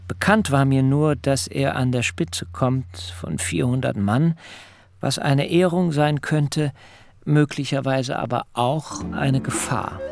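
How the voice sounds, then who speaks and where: An actor playing a dignified middle-aged biblical patriarch who tells his story solemnly and deliberately in a literary radio drama. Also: mid-range voice, vocal fry. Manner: measured, solemn, deliberate delivery. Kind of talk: literary radio drama, first-person narration.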